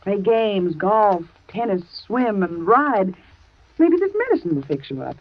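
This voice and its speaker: An actress playing a brisk, confident, sporty young woman in a 1940s radio drama, with a breezy, teasing manner. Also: mid-range voice, big pitch swings, no echo. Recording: dry dull old radio recording.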